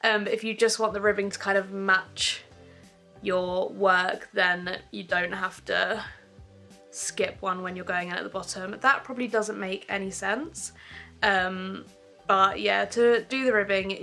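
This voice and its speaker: A woman's voice: mid-range voice, fairly even intonation, a little slow, gravelly, pushed and bright, faint music behind. A young woman giving a casual, chatty step-by-step crochet tutorial at home, with frequent ums.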